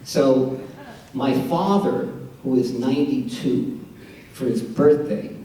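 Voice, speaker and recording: A man's voice: medium pitch, slow, dark tone. A man in his sixties with a New York accent, doing a self-mocking stand-up set with storytelling punchlines at a benefit event. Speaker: amateur stand-up comedian. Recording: echoey room, distant mic, audience. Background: audience laughter.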